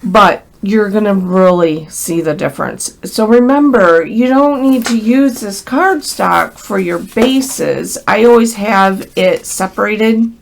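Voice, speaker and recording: A woman's voice: medium pitch, expressive, takes it slowly, gravelly, hardly any pauses. An American woman with a crackly voice from a cold gives a casual, chatty hands-on craft tutorial, speaking directly and in a friendly way to viewers. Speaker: craft instructor.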